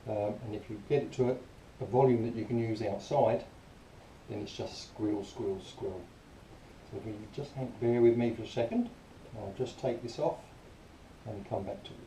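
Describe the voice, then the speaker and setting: A man's voice: on the low side, moderate pace, mellow. A man giving a casual, plain-spoken and disgruntled product review to camera. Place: small enclosed room indoors. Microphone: camera mic some distance away. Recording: roomy, distant camera mic, faint noise.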